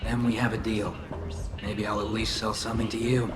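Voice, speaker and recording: An actor playing a polite, stranded young alien salvage trader in a video game, earnest and downcast. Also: male voice, lowish voice, little pitch movement, brisk, natural voice. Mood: hopeful, polite. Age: young adult. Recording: roomy echo under music.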